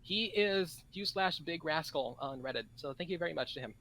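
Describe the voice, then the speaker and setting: A man chatting casually on a group podcast, haltingly, often restarting his sentences. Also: fairly high pitch, lively intonation. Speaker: podcast panelist. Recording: bright voice with faint background noise.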